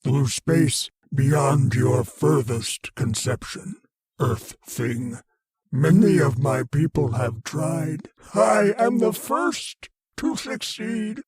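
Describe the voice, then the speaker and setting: An actor playing an ancient, arrogant alien mind in an old-time radio horror play, rasping and declaiming with pompous contempt. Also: male voice, fairly high pitch, expressive, very slow, a little mellow, dry recording, exaggerated voice. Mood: cold command. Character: ancient arrogant alien mind, a menacing meteor-borne invader.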